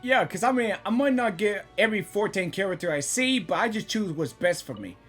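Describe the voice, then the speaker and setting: A young adult gamer giving casual, excitable reaction commentary, rambling and repeating phrases. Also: medium pitch, a little fast, breathy edge. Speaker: gaming reaction video creator. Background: game music and dialogue.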